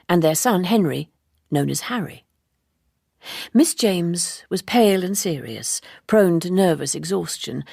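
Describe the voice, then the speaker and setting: A woman narrating a literary audiobook in a measured, formal and precise way. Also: lowish voice, gravelly, a little mellow, slightly rough, no echo, studio quality.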